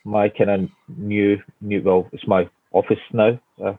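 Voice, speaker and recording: A man's voice: fairly low pitch, level tone, unhurried, dry recording. A man in his forties from Scotland with a Glaswegian accent, answering interview questions casually with many fillers and restarts from his home office. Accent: Glaswegian Scottish. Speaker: guest architect. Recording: tinny phone-like line, dry.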